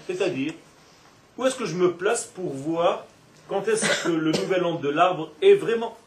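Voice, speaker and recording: High-voiced man teaching in an echoey room. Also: measured pace, recorded from a distance, slight background noise. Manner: animated, playful asides, draws out words. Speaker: Torah teacher. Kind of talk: religious class lecture.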